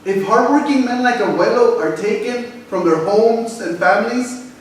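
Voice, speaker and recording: A man's voice: very high-pitched, moderate pace. A man playing an upset, passionate young Mexican American grandson in a staged reading, mixing English and Spanish. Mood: angry, indignant. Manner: impassioned, mixes English and Spanish. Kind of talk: staged reading, emphatic theatrical delivery. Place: theater stage, recorded from the audience. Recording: echoey hall from far off, faint noise.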